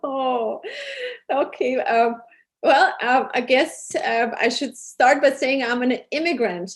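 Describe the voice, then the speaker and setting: A woman's voice: high-pitched, takes it slowly. Serbian-accented woman, earnest interview guest. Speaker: interview guest, program producer and charity founder. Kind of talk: interview answers.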